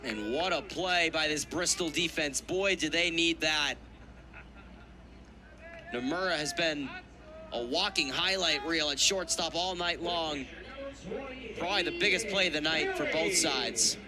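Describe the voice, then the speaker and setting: A man's voice: a little high, a little slow, pauses often, a crowd in the background. A man giving color commentary on a live baseball game, in conversational, reflective phrasing.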